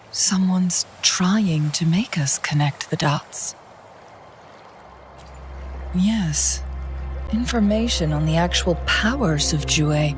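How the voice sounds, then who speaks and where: An actress voicing a composed, astute young woman in a game, calm, enigmatic and measured. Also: on the low side. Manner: measured, poised, deliberate. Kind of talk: dramatic game voice acting.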